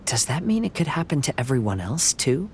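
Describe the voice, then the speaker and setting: A man voicing a gentle, thoughtful young male wanderer, reflective and slightly formal, in a video game. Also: fairly high pitch, sing-song, brisk, a little hiss or hum. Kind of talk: English video game voice acting.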